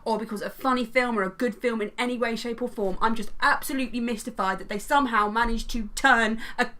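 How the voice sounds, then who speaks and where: A woman's voice: on the high side, fairly even intonation, fast, sharp tone. A woman chatting casually as a podcast panellist, in a jokey, self-deprecating and sweary way. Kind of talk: casual chat.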